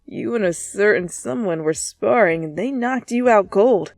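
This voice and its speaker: A woman's voice: medium pitch, expressive, unhurried, a little mellow, dry recording, studio quality. A woman voicing an easygoing, teasing teenage boy with a casual, friendly way of talking, in an anime fan fiction reading. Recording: music behind the voice.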